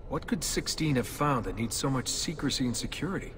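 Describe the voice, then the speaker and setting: A man's voice: mid-range voice, lively intonation, fairly quick, a bit bright, slight background noise, natural voice. An actor playing a wary, casual young everyman in a video game cutscene. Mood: curious.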